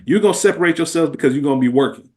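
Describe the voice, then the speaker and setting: A man's voice: a little high, lively intonation, rapid-fire, darkish tone. A man speaking as a podcast host in casual conversation, earnest and emphatic, often repeating phrases.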